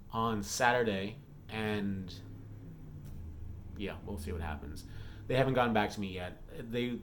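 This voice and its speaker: A man's voice: on the low side, a little hiss or hum. A man talking casually and candidly as a vlogger, rambling through personal updates. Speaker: YouTube vlogger and author.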